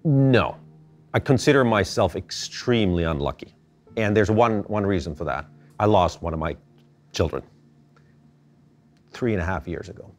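A middle-aged man from Finland, answering interview questions thoughtfully, with halting restarts. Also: low voice, animated, soft background music. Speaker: interview guest. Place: indoor gallery space.

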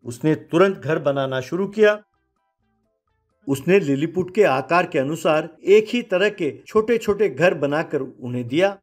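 A man's voice: a little high, expressive, unhurried, faint music behind. A man narrating a children's moral story in scripted storytelling style.

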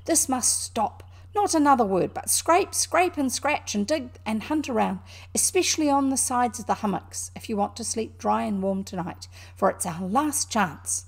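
Woman voicing an excitable, rough-edged male rat. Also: medium pitch, moderate pace. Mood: exasperated, urgent. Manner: emphatic, urgent. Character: excitable male rat, kindly but quickly exasperated. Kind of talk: animated storybook character reading.

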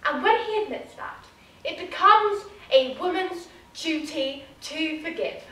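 A woman's voice: extremely high pitch, lively intonation, measured pace, bright, forceful tone, exaggerated voice. A woman performing a theatrical monologue as a witty, arch Victorian society lady, drawing out and savoring each phrase. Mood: arch, teasing. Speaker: drama student performing a monologue. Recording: very echoey room, mic far off.

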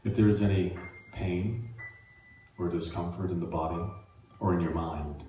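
A man guides a meditation like a minister, in a low, mellow voice. He speaks soothingly and leaves pauses between measured phrases. The sound is heavily echoed, with faint music behind him. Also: a little hiss or hum. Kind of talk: guided meditation, spiritual talk. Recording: echoey room, distant mic, faint music.

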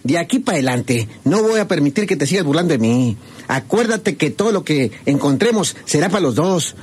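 A man's voice: on the high side, very animated, moderate pace, darkish tone, breathy edge, heavily acted. A man playing a suspicious, grumbling treasure-hunting outlaw with a rural Mexican accent in a radio serial. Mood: angry, threatening. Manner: folksy, colourful, grumbling. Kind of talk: old Spanish-language radio serial acting. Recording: noisy old radio drama recording.

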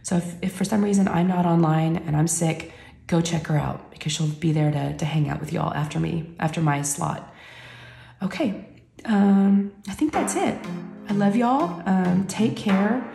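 A sick woman talks straight to her camera, her voice hoarse, low and gravelly with heavy fry. The tone is dark and mellow, and she rambles in a chatty, folksy way, stopping now and then to catch her breath. It is recorded on a camera mic in an echoey room. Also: normal speed, faint music behind. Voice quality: scratchy, deep. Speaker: YouTube creator. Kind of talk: casual chat to viewers.

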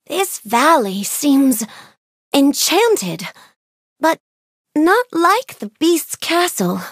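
Young storybook princess, game character, gentle and eager, unhurried delivery, dry close studio sound. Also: female voice, sits high, sing-song, no echo, exaggerated voice. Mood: wonder, admiring. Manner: polite, gentle, wonder-filled exclamations. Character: kind, bookish young fairy-tale princess, warm and eager. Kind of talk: video game character voice clips.

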